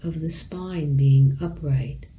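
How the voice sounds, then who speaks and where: A woman teacher leading a guided meditation, speaking gently in measured phrases with long pauses between them. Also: low-pitched, steady tone, narrow, tinny audio, a little hiss or hum.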